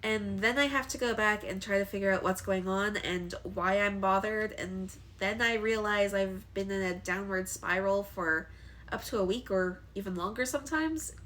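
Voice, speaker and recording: A woman's voice: medium pitch, normal speed, fairly bright tone. A young woman with a congested voice from allergies, talking candidly to camera in a personal vlog, hesitant with frequent ums. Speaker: vlogger.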